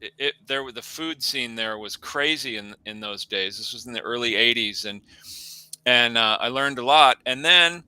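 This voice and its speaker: A man in his sixties from the Midwest co-hosts a casual talk, his voice very bright and crisp. He rambles, restarting his sentences as he recalls the past. The sound is dry and close. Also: mid-range voice, normal speed, dry recording, poor audio. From American Midwest. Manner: rambling, self-correcting. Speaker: co-host. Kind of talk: casual chat. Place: home office.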